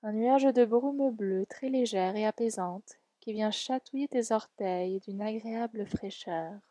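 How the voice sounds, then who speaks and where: A woman leading a guided relaxation meditation in a soft, soothing, gentle and unhurried way. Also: mid-range voice, no room sound. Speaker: yoga nidra guide.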